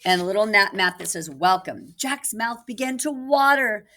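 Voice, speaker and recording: A woman reading a picture book aloud for children in an animated way, with asides to listeners. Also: on the high side, normal speed. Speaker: children's storybook reader, teacher. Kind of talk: expressive read-aloud for children.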